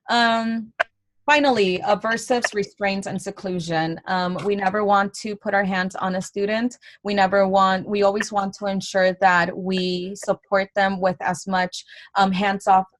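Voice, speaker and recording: A woman's voice: medium pitch, no echo. A young adult woman giving a college lecture in a conversational way, with many fillers and restarts.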